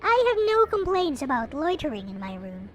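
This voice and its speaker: Female narrator, high and lively, theatrical read-aloud with playful asides, music underneath. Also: moderate pace, dull audio. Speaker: host reading a visual novel aloud. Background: background music.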